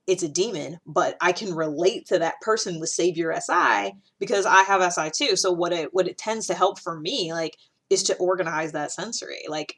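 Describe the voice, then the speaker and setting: Woman rambling to her camera, lowish voice, animated, lots of likes and ums. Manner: animated, chatty, many fillers and restarts. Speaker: video host. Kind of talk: casual talk to camera. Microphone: camera, solo recording.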